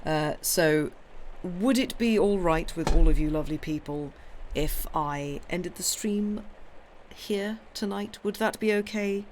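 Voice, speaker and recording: A young woman with a hoarse, croaky voice chats casually with her viewers after reading a story. She rambles in a friendly, apologetic way, full of ums and restarts. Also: on the low side, a little slow, darkish tone, slight background noise, rough recording. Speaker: livestream story reader.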